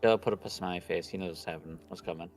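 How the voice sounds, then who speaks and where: A man's voice: deep voice, fairly even intonation, racing, soft background music. A man reading a visual novel aloud on a stream and chatting casually about it, with crude, slangy joking. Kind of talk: casual playthrough chat mixed with reading aloud.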